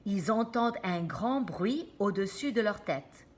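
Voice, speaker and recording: A woman reading a children's storybook aloud like a teacher, expressive and dramatic, with explanatory asides to young listeners. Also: medium pitch, unhurried.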